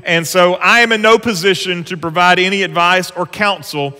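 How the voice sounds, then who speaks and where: A pastor, preaching an earnest, conversational sermon from a church stage. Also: male voice, high voice, steady tone, normal speed, pushed and bright, faint music behind. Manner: earnest, conversational preaching with rising emphasis on scripture. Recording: long church hall reverb.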